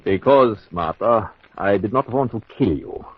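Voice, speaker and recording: An actor playing a cold-blooded murderous husband in an old-time radio mystery, speaking in a formal, measured, theatrical way. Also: male voice, on the low side, animated, breathy edge, no echo. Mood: cold, menacing. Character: smooth, cold-blooded husband plotting his wife's murder. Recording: dull lo-fi old radio recording.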